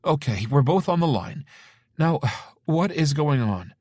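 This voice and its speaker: A man's voice: fairly high pitch, very animated, a little mellow, breathy edge, dry recording, studio quality, voice pushed up, exaggerated voice. A man doing a breathless, flustered mother. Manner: breathless, rapid questioning. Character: anxious, flustered middle-aged mother.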